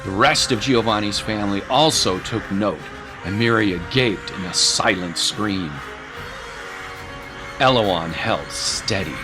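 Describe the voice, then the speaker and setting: A man narrating a dramatic story from a script in a solemn, deliberate manner. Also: on the low side. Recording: music behind the voice. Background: music.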